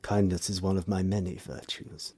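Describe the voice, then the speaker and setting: An actor playing a suave, sinister Victorian gentleman in an audio drama, with formal, courtly phrasing. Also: low-pitched, flat delivery, moderate pace, slightly rough. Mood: smooth, flirtatious. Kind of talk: theatrical period audio drama acting.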